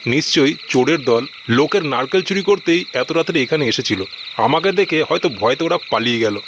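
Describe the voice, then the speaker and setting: A man's voice: a little high, animated, a little fast. Male horror storyteller, noisy background. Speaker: narrator. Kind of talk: scripted horror story narration. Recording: noisy recording with background ambience.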